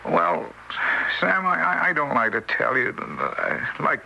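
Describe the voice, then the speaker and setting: A man's voice: mid-range voice, huge pitch swings, slow, creaky, pushed and bright, dull audio, exaggerated voice. An actor playing a timid, bumbling, apologetic male ghost, hesitant and stammering, with an American accent in vintage radio drama style. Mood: sheepish, hesitant. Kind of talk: mid-century American radio drama acting. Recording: hissy old radio play recording.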